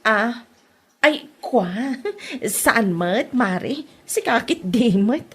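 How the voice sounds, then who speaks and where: A woman's voice: a little high, animated, very slow, a bit bright, husky, exaggerated voice. A woman playing a meek, flustered village neighbour in a comedy drama, hesitant, with an Ilocano accent.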